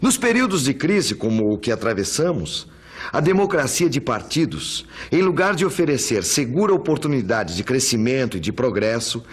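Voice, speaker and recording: Husky-voiced Brazilian man presenting. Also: mid-range voice, very animated, moderate pace. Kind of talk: educational presentation, reading a text aloud.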